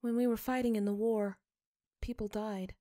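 A woman voicing an innocent, curious young girl with a flat, childlike manner in a story reading. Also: medium pitch, normal speed, dry recording, studio quality, voice pushed up, natural voice. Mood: earnest, puzzled. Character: innocent, curious, inexpressive young girl, not quite human.

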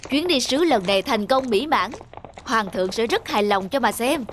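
An actor playing a proud, hot-tempered middle-aged court official in an animated folk tale, boastful and scornful. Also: male voice, very high voice, normal speed, slight background noise. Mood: smug. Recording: music under the dialogue.

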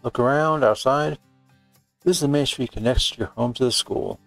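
Gravelly man playing through a visual novel. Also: mid-range voice, normal speed, a bit bright, dry recording, faint music behind. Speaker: gaming video host. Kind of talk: visual novel playthrough, reading aloud with casual commentary.

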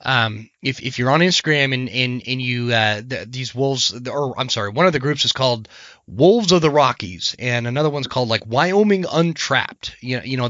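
A man in his forties with an American accent, hosting a podcast, hoarse from a cold and talking passionately with frequent restarts. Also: mid-range voice, sharp tone, dry recording, dull audio. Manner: passionate, gets worked up, many restarts. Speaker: podcast host. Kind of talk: casual podcast conversation.

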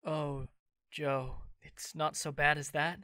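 A woman acting a gentle young man who reassures his friend. The voice is pitched low but bright and forward, recorded dry and close. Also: level tone, slow, no room sound, full character voice. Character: Laurie, kind young man.

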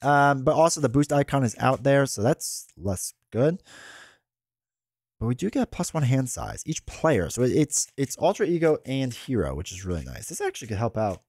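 A man in his thirties hosts in a relaxed, rambling way, and his voice is thick and stuffed up from a head cold. His pitch rises and falls a great deal as he chats through a card game, sitting close to a boom mic in a dry-sounding home studio. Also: normal speed, dry recording. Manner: chatty, rambling with fillers and restarts. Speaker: card game channel host. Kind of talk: casual gameplay commentary. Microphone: boom mic close to the mouth.

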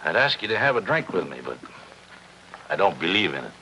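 Very deep, gravelly, weary loner. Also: male voice, big pitch swings, a little fast, bright, forceful tone, full character voice. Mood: guarded, wry. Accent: American. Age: middle-aged. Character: weary, hard-drinking loner diver, grimly philosophical. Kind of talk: 1950s TV drama acting. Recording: noisy old 1950s television soundtrack.

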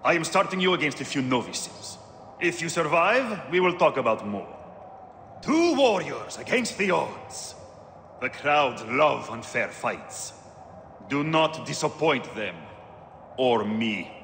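An actor playing a jaded, crude gladiator arena master in a video game, with a sardonic, theatrical, dismissive way of talking. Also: male voice, fairly high pitch, very animated, slow pace, fairly bright tone, slight background noise, heavily acted. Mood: stern, mocking. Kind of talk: video game character acting.

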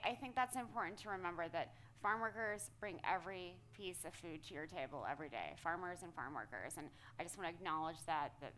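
A woman's voice: fairly low pitch, fairly bright tone, a little hiss or hum, poor audio. An American woman in middle age interviewing a guest on stage, conversational and affirming. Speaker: interviewer, moderator. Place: auditorium stage, indoors.

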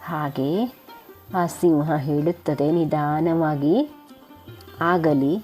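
A woman storyteller narrates a moral tale for children. Her delivery is very unhurried and her tone is dark and mellow. Music plays clearly behind her. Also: lowish voice, animated.

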